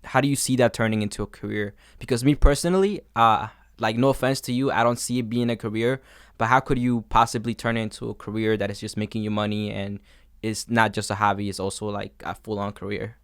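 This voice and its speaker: A man's voice: fairly low pitch, steady tone, quick pace, smooth, no echo, studio quality. A man conducting a casual interview, asking rambling, informal questions. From has lived in a New York suburb.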